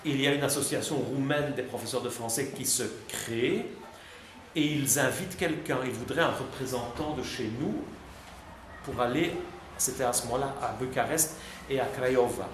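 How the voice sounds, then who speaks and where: A man's voice: medium pitch, moderate pace. A man talking freely as a visiting guest before a class, chatty and hesitant, full of fillers and restarts. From Belgium. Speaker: visiting guest answering students. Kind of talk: relaxed spontaneous talk. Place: high school classroom. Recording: echoey classroom with background noise.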